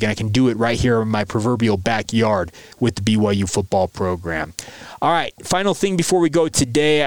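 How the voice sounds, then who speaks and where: An American man hosts a sports podcast on his own. He talks conversationally and in a folksy way, straight to his listeners. The sound is close and dry, with a mid-pitched voice. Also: dry recording. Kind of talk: conversational solo sports commentary.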